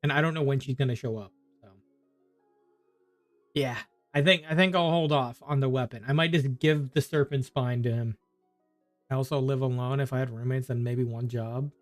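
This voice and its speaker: A man's voice: mid-range voice, steady tone, moderate pace, long pauses, dry recording, faint music behind. A man chatting casually on a game livestream, rambling and thinking aloud as he plays. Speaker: game streamer. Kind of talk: casual livestream chat while gaming.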